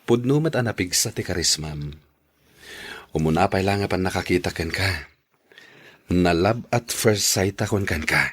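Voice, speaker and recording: A man with an Ilocano accent playing a flattering, wheedling suitor in a radio drama. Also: low-pitched, moderate pace, pauses often, slightly rough, heavily acted. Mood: flirtatious. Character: Billy, smooth-talking flirtatious male suitor and recruiter. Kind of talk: Filipino radio drama acting.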